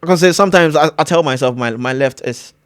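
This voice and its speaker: A man's voice: fairly high pitch, fast, clean tone, no room sound, studio quality. A man of twenty-one from Ghana, chatting casually as an interview guest with many fillers and restarts. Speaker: guest, college soccer player.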